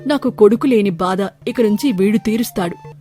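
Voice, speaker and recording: A woman's voice: mid-range voice, normal speed, warm tone, soft background music, natural voice. A woman voicing a harsh, proud village landlord and father in a storybook tale, emphatic and commanding. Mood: remorseful, proclaiming. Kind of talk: storybook character acting.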